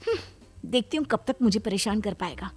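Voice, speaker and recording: A woman playing a shameless, defensive young daughter-in-law in an animated comedy story, with playful exaggeration. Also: mid-range voice, fairly quick, slightly rough. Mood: stubborn, irritated.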